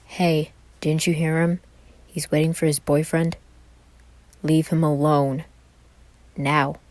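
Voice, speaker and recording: A woman voicing a giant, menacing man who speaks quietly and gravelly in an audio story. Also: low voice, little pitch movement, slow, dry recording. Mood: quiet menace. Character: giant menacing dark-clad man, secretly soft-hearted rescuer.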